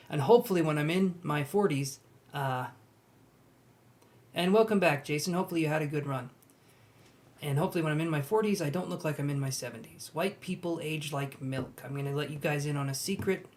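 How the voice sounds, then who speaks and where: Chatty young man, higher voice. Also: brisk. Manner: chatty, gently reassuring, reads out viewer comments. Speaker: tarot reader. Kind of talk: live-stream chat with viewers.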